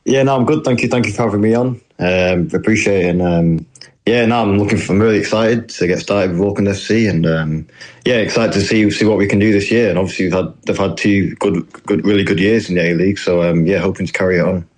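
A man's voice: sits low, quick pace. A young man with a northern English accent, a footballer answering interview questions politely and hesitantly, with many fillers. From New Zealand. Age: young adult. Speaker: footballer guest.

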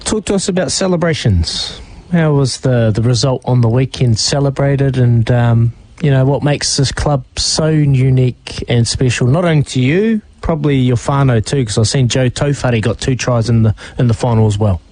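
Enthusiastic Kiwi co-host, dry studio. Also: male voice, medium pitch, moderate pace, darkish tone, dry recording, rough recording. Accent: New Zealand. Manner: enthusiastic, slangy. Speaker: radio sports co-host.

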